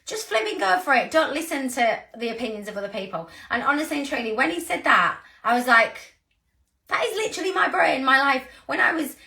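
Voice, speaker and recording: A woman in her thirties with a croaky voice, giving an earnest, rambling motivational talk to her team. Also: high-pitched, expressive, fairly quick, bright, forceful tone. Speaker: sales team leader.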